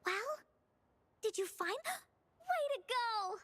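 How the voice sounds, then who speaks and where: A woman voicing a bubbly, excitable little girl adventurer in an anime game, childlike and eager. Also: very high-pitched, slow pace, heavily acted. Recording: music under the voice.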